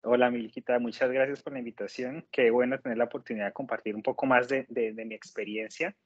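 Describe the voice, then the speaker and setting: Measured Colombian man on dull audio. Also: mid-range voice, steady tone, husky, dry recording. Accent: Colombian Spanish. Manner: measured, polite, explanatory. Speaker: interview guest, nurse. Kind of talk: conversational personal account.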